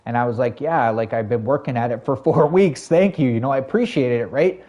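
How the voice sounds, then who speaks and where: Casual Canadian male streamer, warm dark tone, chatty storyteller with fillers. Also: mid-range voice, a little fast. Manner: rambling, lots of fillers and self-corrections, talks to chat. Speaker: game streamer. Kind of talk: casual storytelling to a live chat.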